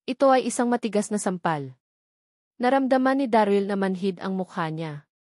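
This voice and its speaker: A woman's voice: mid-range voice, lively intonation, normal speed, a little mellow, no echo. Woman narrating a novel, very dry recording. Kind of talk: scripted audiobook narration.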